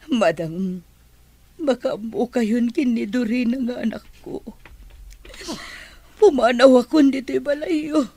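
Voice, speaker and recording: A woman's voice: sits high, dark tone, husky, no room sound, full character voice. An actress playing a self-sacrificing nanny and secret mother, pleading and heavy-hearted, in radio drama style.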